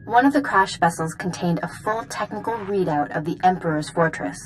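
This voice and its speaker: A woman playing a resolute knight commander in a sci-fi video game, giving brisk, earnest, authoritative briefings. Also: on the low side, a little hiss or hum, natural voice, low-quality recording. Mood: resolute, urgent. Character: resolute female Jedi Knight, military commander. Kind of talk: video game dialogue acting.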